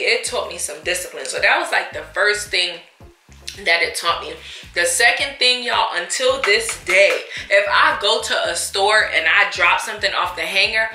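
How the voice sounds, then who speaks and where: Bright, expressive American woman in an echoey room. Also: mid-range voice. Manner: expressive, sassy, emphatic. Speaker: vlogger. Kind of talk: casual storytime chat during a mukbang. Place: indoors in a small room at a table.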